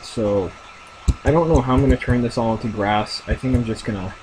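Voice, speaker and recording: A young man casually commenting on his own game as he plays, thinking aloud with lots of fillers and a deep voice. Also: brisk, noisy background. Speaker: gamer narrating his play.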